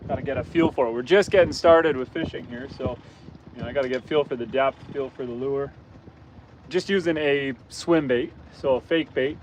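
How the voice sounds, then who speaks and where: A hoarse man, worn from roughhousing with his kids, chats easygoing and friendly with stream viewers while cruising a lake. His voice sits mid-range and a little scratchy. Wind and the hum of a boat motor come through clearly behind him. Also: medium pitch, fairly quick. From Canada. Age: adult, father of young kids. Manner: easygoing, chatty, greets viewers by name. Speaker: outdoors channel host. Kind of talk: casual livestream chat while fishing. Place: outdoors on a boat on a rainy lake. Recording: windy outdoor stream with boat noise.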